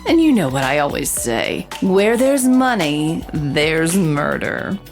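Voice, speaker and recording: An actress playing a confident investigative reporter hosting a true-crime podcast, with theatrical, knowing scripted delivery. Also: female voice, deep voice, big pitch swings, slow, soft background music, full character voice. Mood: intriguing, knowing. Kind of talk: scripted dramatic podcast narration and interviewing.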